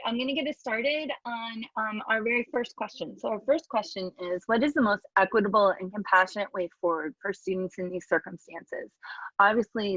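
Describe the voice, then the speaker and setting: A woman moderating a webinar panel, introducing herself and posing questions in a brisk, friendly way over a video call. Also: mid-range voice, fairly bright tone, dry recording. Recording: dry, dull lo-fi audio.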